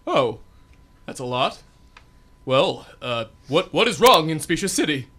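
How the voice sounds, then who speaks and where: A man in a student radio drama playing a pompous, clumsy male superhero who booms self-righteous lines and stammers when flustered. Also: big pitch swings, a little slow, sharp tone, exaggerated voice. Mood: heroic.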